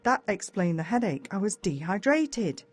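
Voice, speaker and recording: An Australian woman reads a children's audiobook with dramatic, expressive delivery. Her pitch swings widely from line to line. The recording is close and very dry. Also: normal speed, no room sound. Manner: dramatic, animated storytelling. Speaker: audiobook narrator. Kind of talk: expressive children's story narration in first person.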